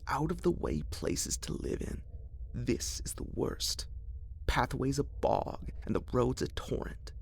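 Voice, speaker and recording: A man's voice: mid-range voice, creaky, darkish tone, slight background noise, voice pushed up. A man voicing a fretful, grumbling old father in a whispered storybook reading. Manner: querulous outbursts.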